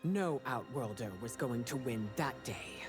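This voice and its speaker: An actress playing a regal, stern warrior empress and mother in a video game, formal and measured. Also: rumbling low, lively intonation. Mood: commanding. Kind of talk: video game character dialogue. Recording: loud music behind the dialogue. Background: music.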